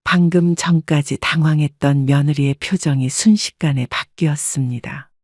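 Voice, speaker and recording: A woman narrating a first-person family story in a measured, subdued reading. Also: deep voice, darkish tone, no room sound, clean studio sound.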